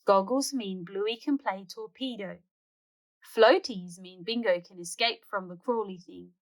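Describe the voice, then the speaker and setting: A woman's voice: mid-range voice, a little slow, low-quality recording. A woman hosting a read-aloud, narrating a children's storybook expressively.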